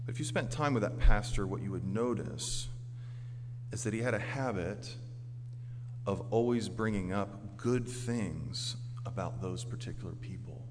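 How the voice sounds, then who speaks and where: A man's voice: on the low side, unhurried, background noise. American man preaching in a reverberant hall. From Orlando, Florida, United States. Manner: earnest, emphatic, swinging from forceful declarations to quieter storytelling. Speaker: pastor preaching to a congregation. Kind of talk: sermon.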